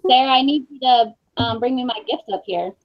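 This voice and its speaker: A woman's voice: high-pitched, big pitch swings, normal speed. A woman chatting casually as book club host, speaking from home over a video call. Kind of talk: casual chat. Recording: dull lo-fi call, faint noise, clicks.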